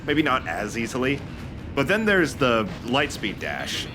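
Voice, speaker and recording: A man talks through a video game in an enthusiastic, rambling, casual way, like a let's play host among friends. Game music plays clearly behind his mid-pitched voice. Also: very animated, a little slow. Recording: voice over loud game music. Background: game music and sound effects.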